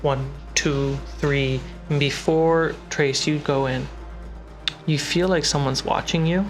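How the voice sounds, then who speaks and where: Man, higher-pitched voice, unhurried game master narration, dramatic pauses, faint music behind. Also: fairly even intonation. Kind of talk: cinematic tabletop game narration.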